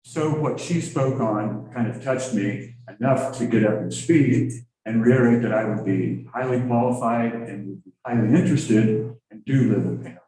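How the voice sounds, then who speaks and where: A man's voice: medium pitch, moderate pace, dark tone. A man from the public speaks at a town board meeting, hesitant and rambling, with many ums. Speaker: resident giving public comment. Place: council meeting room. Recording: very echoey hall, distant mic.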